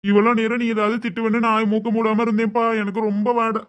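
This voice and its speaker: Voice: medium pitch, little pitch movement, fast talker, pitched below the performer's own voice, close to an everyday voice. A young performer voicing a fussy young husband who grumbles and worries, in a comic family skit. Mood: soothing.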